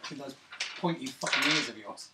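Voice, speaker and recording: A man's voice: mid-range voice, normal speed. A man chatting casually as a player in a tabletop roleplaying game. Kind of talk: casual banter. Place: indoors, around a gaming table. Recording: distant mic with background noise.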